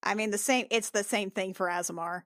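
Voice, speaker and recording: A woman's voice: mid-range voice, brisk, a bit bright, no room sound. Female tabletop player, dry close recording. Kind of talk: casual in-game conversation.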